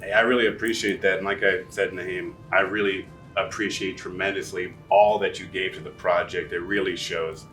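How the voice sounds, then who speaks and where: A man hosts a casual interview in a fairly low voice, friendly and appreciative, with a joking edge. The microphone sits at a distance from him. Faint music runs underneath. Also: little pitch movement, brisk, few pauses, fairly bright tone. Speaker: interview host.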